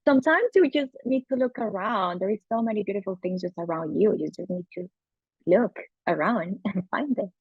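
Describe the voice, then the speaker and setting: Gravelly Colombian woman, casual podcast guest. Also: mid-range voice, lively intonation, normal speed, no echo, lo-fi sound. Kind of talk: casual conversation.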